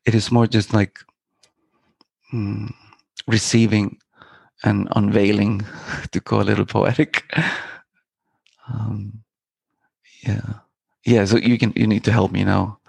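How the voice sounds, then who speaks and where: A man's voice: lowish voice, flat delivery, takes it slowly, vocal fry, pauses often, a little mellow, husky, no echo. A middle-aged man from Iceland, a filmmaker guest talking in a reflective, meandering, poetic way with many fillers and restarts. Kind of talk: reflective interview talk.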